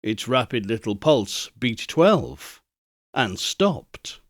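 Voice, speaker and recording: A man narrating a classic tale as a dramatic storyteller, with theatrical, deliberate and emphatic phrasing. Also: medium pitch, sing-song, no room sound, clean studio sound. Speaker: audiobook narrator.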